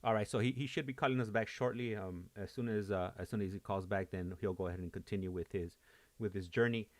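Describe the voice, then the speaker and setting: Deep male host, explanatory and filler-heavy, dry close recording. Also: steady tone, quick pace, dry recording. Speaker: show host. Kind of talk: live show narration.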